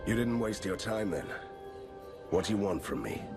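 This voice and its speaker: An actor playing a wary, sardonic monster hunter in a video game, dry and deadpan in delivery. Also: male voice, on the low side, normal speed. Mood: dry, defiant. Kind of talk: video game cutscene acting. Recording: loud music under the voice.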